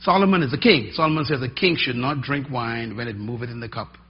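Middle-aged male pastor, husky, emphatic folksy sermon delivery. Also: mid-range voice, fast. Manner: emphatic, rising and dropping for effect, folksy asides. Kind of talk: sermon teaching. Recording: dull lo-fi sermon recording.

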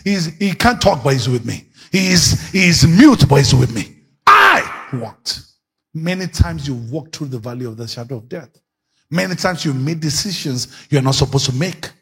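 A male pastor preaching a sermon, dropping his voice low and then bursting into shouts. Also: slightly rough. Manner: preaching with sudden shouts and dramatic swings in pitch.

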